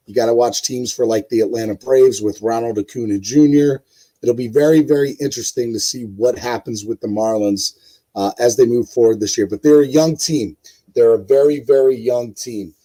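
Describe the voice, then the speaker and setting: A man with a hoarse voice hosting a casual live-stream sports show, rambling with many fillers and talking to his chat viewers. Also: mid-range voice, fairly even intonation, moderate pace, mellow, low-quality recording. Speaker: host.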